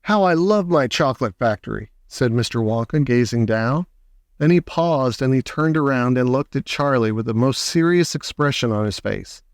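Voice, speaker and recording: A man's voice: mid-range voice, moderate pace, clean tone, no room sound, clean studio sound. A man narrating a children's storybook aloud as an audiobook reader.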